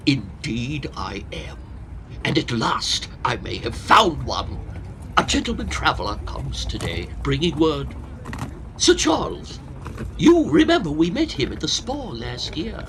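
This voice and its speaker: Melodramatic older professor, wildly swooping. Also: male voice, mid-range voice, very animated, unhurried, bright, forceful tone, slight background noise. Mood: proud, eager. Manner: florid, theatrical lamenting. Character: fussy older male hypochondriac professor, melodramatic, self-pitying. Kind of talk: comic period farce radio acting.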